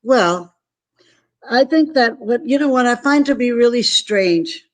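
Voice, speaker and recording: A woman's voice: on the high side, expressive, a little slow. A woman from San Antonio, Texas, whose first language was Spanish, speaking as an interview guest in an emphatic, earnest, repetitive way. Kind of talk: motivational interview talk.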